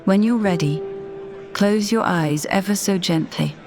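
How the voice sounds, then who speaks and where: A woman narrating a scripted guided meditation in a measured, deliberate, soothing manner. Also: medium pitch, a little mellow. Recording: voice over background music. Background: music.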